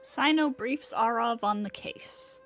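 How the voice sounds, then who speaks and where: A woman's voice: a little high, slow, a bit bright, soft background music. A woman chatting casually and reading aloud as she streams a video game. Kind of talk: casual chat and reading aloud while playing.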